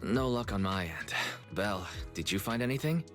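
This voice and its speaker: A man's voice: lowish voice, lively intonation, normal speed, over music, natural voice. A man playing a mild, easygoing young brother in a video game, courteous and a little hesitant.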